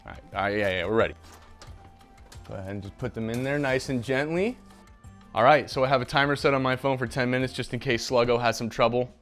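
A man's voice: mid-range voice, fast talker, fairly bright tone, faint music behind. A young man hosting a casual home cooking show in a kitchen, chatting upbeat while talking to a silent mascot beside him, on a lapel mic. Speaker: host.

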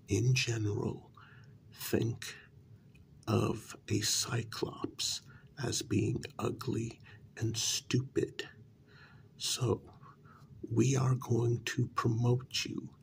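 A man doing a soft-spoken, often whispered ASMR role-play as a coaxing, placating talent agent, with deliberate, halting phrasing. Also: mid-range voice, creaky, a little hiss or hum. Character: persuasive, placating talent agent to supernatural creatures.

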